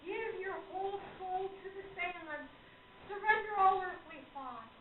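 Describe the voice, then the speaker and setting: A woman's voice: extremely high pitch, a little slow. A woman playing a confident, provocative young spirit medium in a radio play, speaking in a solemn, theatrical manner. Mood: solemn, commanding. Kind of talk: amateur radio play acting. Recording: echoey room, far off, tinny, very noisy.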